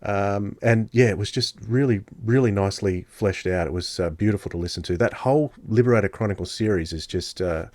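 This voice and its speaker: A man's voice: lowish voice, brisk, hardly any pauses, darkish tone, no room sound. A man co-hosting a podcast, chatting casually in a hesitant, appreciative way. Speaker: podcast co-host. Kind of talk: casual chat, reviewing.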